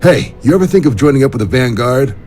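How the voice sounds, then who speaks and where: An actor playing an easygoing, teasing space fleet recruiter in a video game, casual and wry. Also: male voice, medium pitch, animated, fast talker, darkish tone, soft background music, close to an everyday voice. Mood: friendly, wry. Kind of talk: video game character dialogue.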